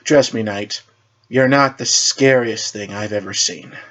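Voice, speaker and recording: A man's voice: lowish voice, measured pace, a bit bright. Man playing a courteous masked shadow hero, lo-fi. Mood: awkward, dry. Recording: dull lo-fi recording.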